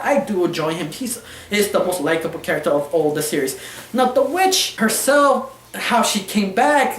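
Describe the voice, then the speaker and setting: Casual female reviewer, mid pitch, opinionated and rambling, halting non-fluent phrasing, roomy echoey recording. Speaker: cartoon reviewer.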